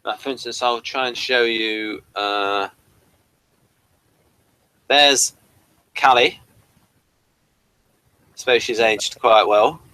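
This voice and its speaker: Slow, bright-voiced British man hosting. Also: mid-range voice, steady tone, clear, resonant tone, dry recording, rough recording. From Britain. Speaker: host of online hangout. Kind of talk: casual review chat. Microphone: webcam livestream setup.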